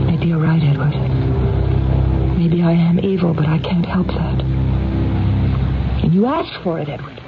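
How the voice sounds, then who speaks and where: An actress playing a ruthless, possessive wealthy mistress in a 1970s radio drama, with imperious, precise diction. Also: female voice, sits low, heavily acted. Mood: dazed, remorseless, then agitated, scheming. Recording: dull old radio recording, music behind.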